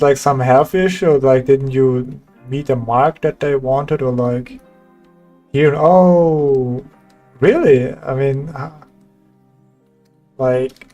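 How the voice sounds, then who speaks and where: Slow, easygoing young man chatting. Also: mid-range voice, a little mellow. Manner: laid-back, laughs often, says "damn, man" a lot. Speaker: streamer chatting with viewers while drawing. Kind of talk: casual livestream chat.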